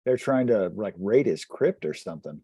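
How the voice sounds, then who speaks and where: A man's voice: on the low side, animated, normal speed, warm tone, husky, no room sound, clean studio sound. A man chatting casually as a player in a tabletop roleplaying game.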